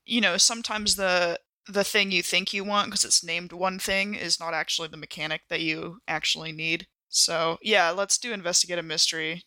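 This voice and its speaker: A woman's voice: on the low side, pushed and bright, no room sound. A woman playing a tabletop game, chatting casually and thinking aloud in an informal way.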